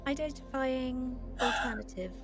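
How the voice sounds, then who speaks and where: A self-assured AI acted by a woman with a fairly high female voice. She announces things in formal, clinical phrases, as in a sci-fi drama, with music clearly playing behind her. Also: moderate pace. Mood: clinical, assertive.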